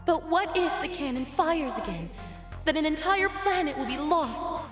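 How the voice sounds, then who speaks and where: A woman playing a solemn, soft-hearted empress in a video game cutscene, speaking in formal, stately phrases. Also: high-pitched, animated, normal speed. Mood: worried. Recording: music behind the voice.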